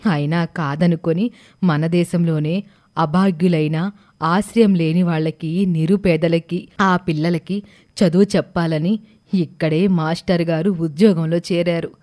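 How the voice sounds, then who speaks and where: A woman voicing a grave, kindly schoolmaster's widow in a cartoon story, measured and earnest. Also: lowish voice, steady tone, warm tone, close to an everyday voice. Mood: earnest, sorrowful.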